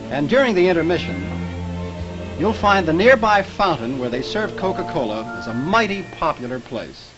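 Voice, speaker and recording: A man delivering a folksy 1950s advertising pitch as a commercial announcer. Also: fairly bright tone. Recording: dull vintage commercial soundtrack. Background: music.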